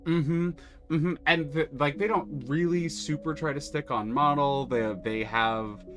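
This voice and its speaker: A man's voice: on the high side, fairly even intonation, measured pace, a bit bright. A man streaming a game, chatting casually and explaining the mechanics with enthusiasm and many restarts. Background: music.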